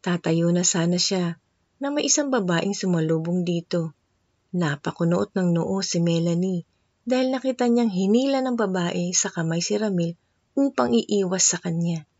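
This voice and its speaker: A woman's voice: fairly low pitch, expressive, moderate pace, a little mellow, dry recording, lo-fi sound. A woman storyteller reading a scripted romance narration.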